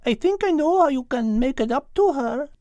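A man's voice: very high-pitched, expressive, moderate pace, warm tone, dry recording, pitched above the performer's own voice. A man voicing a coaxing, motherly female cow with a Spanish accent in an audiobook story.

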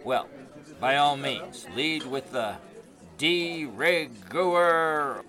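A man's voice: a little high, expressive, a crowd in the background, exaggerated voice. An actor playing a skeptical, sarcastic 1920s sportswriter in an audio drama, drawing out his words. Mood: sardonic. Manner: sarcastic, drawn-out. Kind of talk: period audio drama acting.

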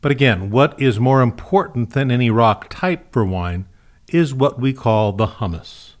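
A man's voice: mid-range voice, expressive, unhurried. A man narrating a scripted science podcast as its host. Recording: music behind the voice.

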